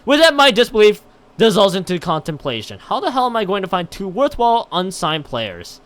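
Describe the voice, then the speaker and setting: Bright-voiced man streaming, wildly animated pitch. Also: brisk. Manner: stumbling over read text, repeating and restarting words. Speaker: live game streamer. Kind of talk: reading a visual novel aloud and chatting on a livestream.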